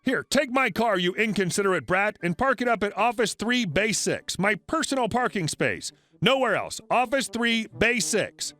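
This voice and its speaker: A man voicing a furious, entitled, bossy woman who shouts demands indignantly in a narrated story. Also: sits high, lively intonation, moderate pace, hardly any pauses, dry recording, soft background music, pitched above the performer's own voice.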